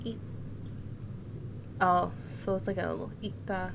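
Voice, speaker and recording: A woman's voice: lowish voice, steady tone, a little slow, gravelly, noisy background. A woman in her thirties reading a visual novel aloud and adding casual commentary as a game streamer. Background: game music and Japanese game voices.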